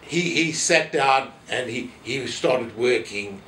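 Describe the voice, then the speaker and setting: A man's voice: mid-range voice, expressive, slow, bright, forceful tone. Elderly man, South African accent, anecdotal reminiscing, roomy echo, set back from the mic. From South Africa, family from Poland. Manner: anecdotal, re-enacting quoted remarks. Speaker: interviewee, former synagogue office holder. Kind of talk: reminiscing in an oral history interview. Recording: roomy echo, distant mic, faint noise.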